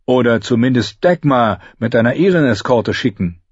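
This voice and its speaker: A man's voice: mid-range voice, expressive, moderate pace, husky, dry recording, highs cut off, pitched above the performer's own voice. A man voicing a proud, impatient young nobleman in an audiobook. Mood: haughty. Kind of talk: audiobook character voice.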